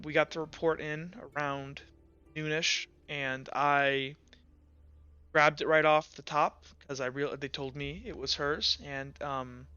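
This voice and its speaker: A man playing a shaken guard commander who gives reluctant, halting testimony in a tabletop game. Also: on the high side, pushed and bright, clean tone, muffled recording. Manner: halting, hesitant, stammering.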